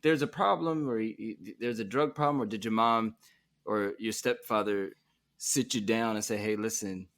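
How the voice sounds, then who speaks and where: A man with a somewhat low voice hosts a podcast conversation. He is easygoing and asks long questions that wander before landing. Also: normal speed, fairly bright tone. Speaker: podcast host. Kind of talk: casual interview.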